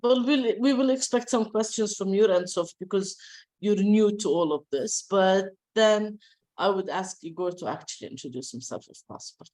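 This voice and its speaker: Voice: mid-range voice, moderate pace, darkish tone, rough recording. Polite meeting host on a video call. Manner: polite, guiding the turns.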